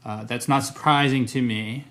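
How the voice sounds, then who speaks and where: A man's voice: mid-range voice, steady tone, moderate pace, fairly bright tone. A Canadian man with a middle-range voice talks casually to camera as a vlogger. He is thoughtful and careful, hedging often and restarting his sentences. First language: English. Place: Montreal.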